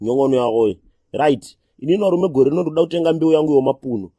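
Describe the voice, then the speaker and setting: A man voicing a streetwise, cheerfully crude Zimbabwean township hustler in a comedy cartoon, husky and thick with street slang. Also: fairly high pitch, quick pace, warm tone, dry recording, studio quality. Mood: slangy ranting.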